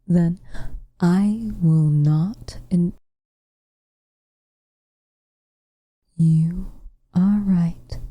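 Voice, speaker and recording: A woman playing a protective, brooding, weary but tender witch in an ASMR roleplay, speaking softly and gravely, often in a whisper, close to the mic. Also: fairly low pitch, dry recording. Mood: worried, resolute. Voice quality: soft, breathy, often whispering. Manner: measured, grave, formal phrasing. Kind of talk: ASMR roleplay, slow intimate acting. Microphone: close microphone, dry.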